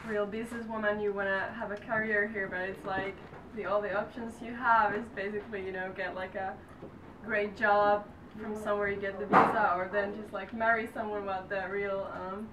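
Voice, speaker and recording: A Finnish-accented woman speaks hesitantly in English, hunting for the right words. Her pitch sits in the middle. She is heard from a distance in an echoing room, with clear background noise. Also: level tone, moderate pace. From Finland. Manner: hesitant, searching for English words, laughing easily. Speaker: actress. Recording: echoey room from far off with noise.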